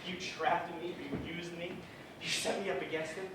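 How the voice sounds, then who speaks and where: A man's voice: high voice, level tone, moderate pace, natural voice. An actor playing a resentful, guilt-ridden man who is tense and paranoid. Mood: accusing. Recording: very echoey, distant mic, clear background noise.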